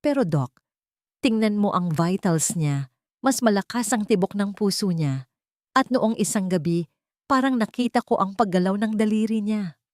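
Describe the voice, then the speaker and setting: A woman's voice: expressive, normal speed, darkish tone, dry recording, studio quality, close to an everyday voice. A woman with a Filipino accent voicing a worried, wealthy father who is hopeful and insistent, in an audio drama. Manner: low, insistent, questioning.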